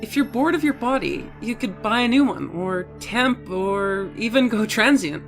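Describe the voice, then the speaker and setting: A woman's voice: fairly high pitch, lively intonation, normal speed, soft background music. An actress playing a skeptical, reluctant female assistant in a voiced comic, guarded, hesitant and blunt. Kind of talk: voiced comic audio drama.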